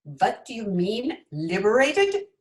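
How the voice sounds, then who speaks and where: A woman's voice: medium pitch, huge pitch swings, slow pace, a little mellow. A woman playing a brusque, dramatic señora in an old-time radio comedy, speaking emphatically. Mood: dismissive. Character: sharp, dramatic señora of a corrupt island regime.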